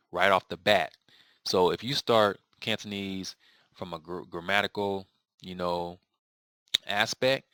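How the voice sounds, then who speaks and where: A man's voice: low-pitched, bright, forceful tone, dry recording. A man answering viewer questions in a casual video lesson, rambling with many fillers and restarts. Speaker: language learning vlogger.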